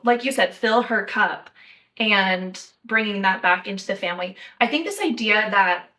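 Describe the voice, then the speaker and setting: A young woman from the United States hosting a podcast, talking in a measured, thoughtful way with light laughs. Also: medium pitch, a bit bright, recorded from a distance. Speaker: podcast host. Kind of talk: casual podcast chat.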